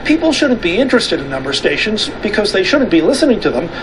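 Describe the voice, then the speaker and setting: A man narrates a scripted news report with a very lively, varied delivery. The clip is thick with background noise. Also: high voice, fast. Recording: very noisy archived TV report.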